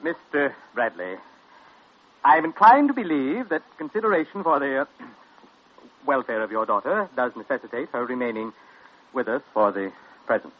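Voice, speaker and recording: An actor playing a fussy, pompous hospital administrator in 1940s radio drama, husky, hesitant and stammering. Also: male voice, mid-range voice, expressive, moderate pace, long pauses, a little hiss or hum, close to an everyday voice. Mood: flustered. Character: fussy, flustered male hospital administrator, anxious to please. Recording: muffled old radio recording.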